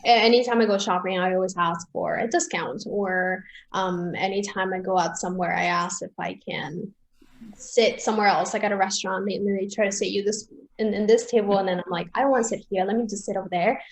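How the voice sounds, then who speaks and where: A young woman from Peru chatting as an interview guest, talking freely with many restarts and quick laughs. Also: medium pitch, poor audio. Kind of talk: casual interview chat.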